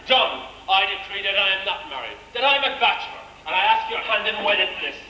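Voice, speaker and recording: A man's voice: sits high, expressive, fast talker, pushed and bright, full character voice. A man with an Irish accent playing a pompous, lecherous old king in a stage pantomime. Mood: pompous wooing. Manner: pompous, hesitant wooing. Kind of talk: broad amateur stage pantomime acting. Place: village hall stage, live performance. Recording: echoey village hall stage, noisy.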